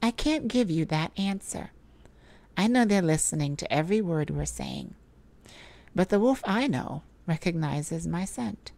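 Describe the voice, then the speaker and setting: A woman playing a tender, heartbroken but resolute mage in an emotional audio roleplay, speaking intimately and haltingly with a pleading manner. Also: on the low side, lively intonation, vocal fry, a little mellow. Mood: resigned, loving.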